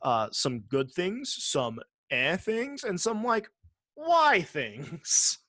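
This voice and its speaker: A man co-hosting a gaming podcast in casual chat, joking and sarcastic, swearing freely and often halting to rephrase. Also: sits high, very animated, sharp tone, no echo, clean studio sound. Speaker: podcast co-host.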